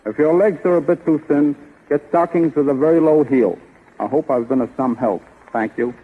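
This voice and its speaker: Husky, higher-pitched man on tinny old radio. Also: normal speed. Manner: formal, reading prepared advice. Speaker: guest stocking designer. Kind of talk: scripted 1930s radio talk. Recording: muffled, narrow-band 1930s radio broadcast.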